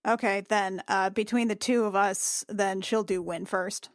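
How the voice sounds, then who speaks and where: A woman's voice: mid-range voice, fairly even intonation, a little slow, dry recording, studio quality. A woman chatting casually as a player in a tabletop role-playing game.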